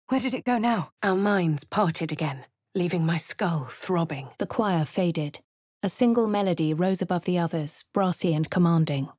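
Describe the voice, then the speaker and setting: A woman voicing a posh, plucky young adventurer with a southern English accent, speaking crisply and chanting spells. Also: on the low side, dry recording, lightly acted. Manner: chants spell incantations loudly. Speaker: player character voice. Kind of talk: video game voice lines. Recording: dry studio recording.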